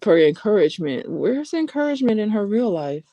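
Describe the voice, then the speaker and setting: A woman's voice: mid-range voice, very animated, fairly quick, dark tone, no room sound. A woman co-hosting a casual live-stream chat as a relationship therapist, thoughtful and a little hesitant. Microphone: close mic at home, in bed.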